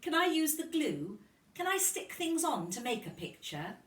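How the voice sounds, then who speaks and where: Woman voicing an eager, high-pitched little storybook girl. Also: big pitch swings, moderate pace, a bit bright. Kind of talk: children's storybook character voice. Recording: roomy, distant microphone.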